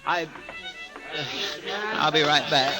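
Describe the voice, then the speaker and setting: High-voiced folksy Western sidekick. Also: male voice, little pitch movement, takes it slowly, loud music behind the voice. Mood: flustered, bashful. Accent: American. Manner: polite, stammering, rustic turns of phrase. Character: folksy, flustered, eager deputy sidekick in the Old West. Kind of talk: old radio western acting. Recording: old radio broadcast, crowd behind.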